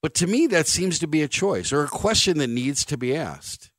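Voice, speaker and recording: A man's voice: fairly high pitch, animated, normal speed, a bit bright, dry recording. A man in his fifties hosting sports talk radio, making wry, sarcastic jokes and drifting into rambling anecdotes. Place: radio studio. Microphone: studio microphone.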